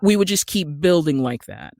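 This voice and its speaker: A woman's voice: deep voice, big pitch swings, normal speed, creaky, mellow, no echo, studio quality. A woman from Utah with an American accent, explaining things step by step in a conversational interview. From Utah, United States. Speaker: podcast guest, screenwriter and actor.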